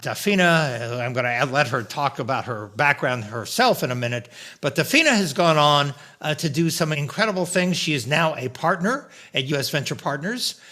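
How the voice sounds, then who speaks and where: An older man hosting his own podcast, a professor who speaks in a deliberate, lecturing way with occasional "uh"s. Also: on the high side, animated, bright, forceful tone. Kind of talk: podcast interview.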